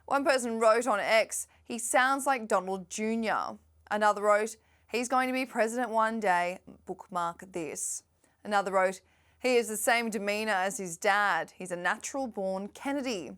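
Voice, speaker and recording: A young adult woman presenting a scripted news roundup in a brisk, lightly sardonic manner. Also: medium pitch, a bit bright.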